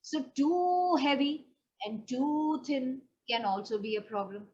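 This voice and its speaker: High-voiced Indian woman teaching, dull lo-fi sound. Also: animated, slow, a little mellow. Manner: explanatory, demonstrative, addressing the learner directly. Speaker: pageant and personal development trainer. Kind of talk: instructional voice training lesson. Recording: dull lo-fi audio, faint background noise.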